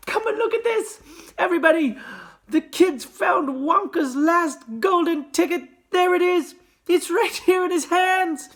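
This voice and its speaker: Reader putting on a fat shopkeeper man, jolly and excitable, voice shooting way up and hollering, wildly bouncing pitch, storybook shopkeeper. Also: normal speed, breathy edge, full character voice. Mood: ecstatic shouting. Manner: excited yelling, breaking into laughter.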